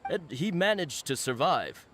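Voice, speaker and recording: An actor playing a defensive but decent fishmonger in a video game. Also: male voice, on the high side, sing-song, a little slow, a bit bright, a little hiss or hum. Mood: candid.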